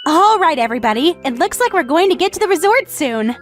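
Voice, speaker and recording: A woman playing a kind, polite, gentle young receptionist in a romance comic dub. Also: extremely high pitch, big pitch swings, fast, faint music behind. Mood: cheerful. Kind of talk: anime-style dub acting.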